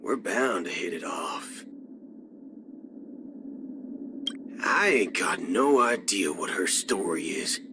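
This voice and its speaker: Drawling, swooping cyborg cowboy gunslinger. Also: male voice, deep voice, lively intonation, unhurried, background noise. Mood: easygoing. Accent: Southern American cowboy drawl. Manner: cocky, folksy, drawling. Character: swaggering cyborg cowboy gunslinger outlaw, adult male. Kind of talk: video game character voice lines. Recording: music under the voice. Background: background music.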